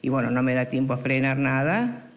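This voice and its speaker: A woman in her forties with an Argentine accent, recounting her accident haltingly at home. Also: extremely low pitch, animated. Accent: Argentine Spanish. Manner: hesitant, with fillers. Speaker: accident victim interviewed. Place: indoors at home.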